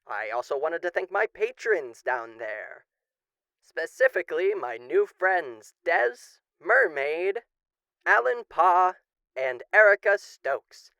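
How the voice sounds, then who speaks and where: A man's voice: sits high, big pitch swings, takes it slowly, creaky, pushed and bright, clear, resonant tone, no room sound. A man hosting a roleplay channel, giving a showy, announcer-like thank-you to his listeners. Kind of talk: scripted-sounding thank-you outro to listeners.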